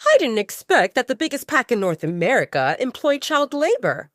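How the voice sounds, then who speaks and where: A woman's voice: high voice, very animated, moderate pace, no room sound, clean studio sound, voice pushed up. A woman voicing a haughty, bratty young werewolf woman in an audio drama, scornful and mocking.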